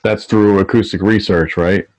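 A man's voice: on the low side, fairly even intonation, moderate pace, a little mellow, no room sound. A man hosting an interview, chatting casually with his guest. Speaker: interviewer, host.

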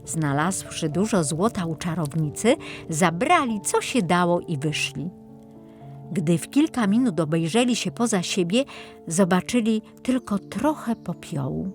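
Slow-paced woman storyteller. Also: fairly low pitch, lively intonation, faint music behind. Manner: measured, dramatic storytelling. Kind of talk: scripted fairy-tale narration for children.

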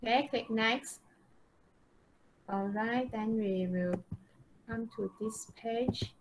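A woman's voice: a little high, slow, a little hiss or hum, low-quality recording. A woman teaching an English lesson on a video call, checking understanding with short questions. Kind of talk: interactive online lesson.

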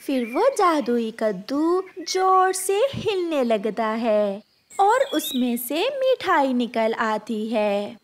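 A woman narrating a scripted children's story in a measured storytelling manner. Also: on the high side, slight background noise.

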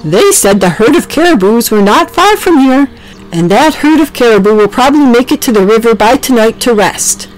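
A woman voicing Clara, a gentle, helpful female cow in a children's storybook. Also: fairly high pitch, expressive, normal speed, voice pushed up, natural voice, poor audio. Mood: worried.

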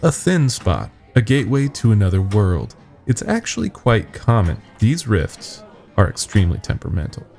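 A man voicing a nervous young company worker confessing secrets in a halting, grave horror-story telling. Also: fairly low pitch, sing-song, vocal fry, a little mellow, soft background music. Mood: confiding.

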